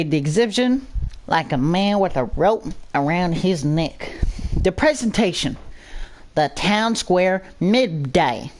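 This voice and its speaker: A channel host narrating a scripted story aloud in a measured reading style. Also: mid-range voice.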